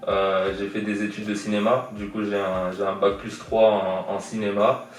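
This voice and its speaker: A young man answers interview questions casually, stalling on fillers and repeating words as he thinks. His voice sits a bit low. The sound is very echoey and distant, with faint music behind. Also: little pitch movement, moderate pace. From born in Iran, raised in France. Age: young adult. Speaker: guest artist. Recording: echoey room, distant from mic.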